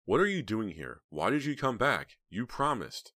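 A man's voice: lowish voice, very animated, a little fast, fairly bright tone, no echo, clean studio sound, voice pushed up. A man voicing a tense, frightened young woman who hisses furious, accusing demands in an audiobook story. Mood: furious, demanding.

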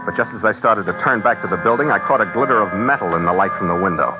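Actor as low-voiced hardboiled American skipper, vintage radio. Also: male voice, very fast, natural voice. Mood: wry narration. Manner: wry, tough, clipped slangy talk. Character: hardboiled cynical American sea skipper, narrating his adventure. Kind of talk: old-time radio adventure acting and narration. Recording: tinny vintage radio recording with loud music.

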